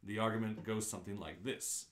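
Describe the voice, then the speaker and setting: A man's voice: lowish voice, steady tone, brisk, lightly acted. A man playing the dry, erudite narrator of a comic science-fiction guidebook, explaining things wryly in a radio play. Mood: wry, explanatory. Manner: explanatory, wry. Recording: roomy, echoing live-stream audio.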